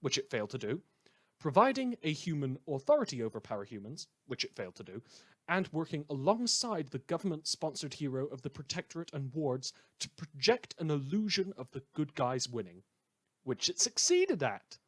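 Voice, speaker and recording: A man playing a wry community radio host in a fan audio drama, delivering scripted narration in a measured, theatrical deadpan. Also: fairly high pitch. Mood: dry, wry. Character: wry male community radio host telling eerie tales.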